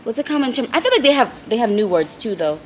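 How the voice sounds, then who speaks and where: A woman's voice: on the high side, big pitch swings, fast, darkish tone, a little hiss or hum. A woman hosting a casual vlog chat, curious and chatty, a little tipsy. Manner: curious, chatty, many "like" fillers. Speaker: vlog host. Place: cafe at night.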